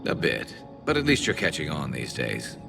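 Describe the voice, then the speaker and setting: A man's voice: very deep voice, wildly swooping pitch, normal speed, vocal fry, full character voice. A man voicing a dry, teasing alien soldier in a video game, with a wry drawl. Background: background music.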